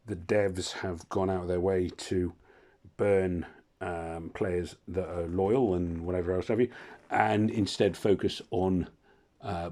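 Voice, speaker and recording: A hoarse man casually commentating his mobile game, rambling and cutting himself off with wry asides. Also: sits low, a little mellow. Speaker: gaming channel host.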